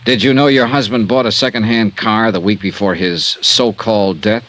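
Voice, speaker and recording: An actor playing a cynical, hard-boiled insurance investigator with an American accent, dry and direct, in a 1950s radio detective drama. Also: male voice, lowish voice, normal speed, a bit bright, natural voice. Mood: dry suspicion.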